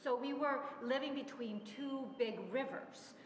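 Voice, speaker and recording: An actress voices a young immigrant woman with a high voice who tells her story haltingly. The sound is echoey and reverberant, with soft music behind. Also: steady tone, a little hiss or hum. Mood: wistful. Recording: echoey stage recording with music.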